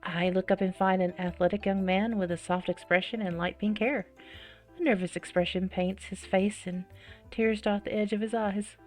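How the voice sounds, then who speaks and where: A woman reading a visual novel aloud as a let's-player, expressive and dramatic with reactive asides. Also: medium pitch, normal speed. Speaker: video game let's-player. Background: game music.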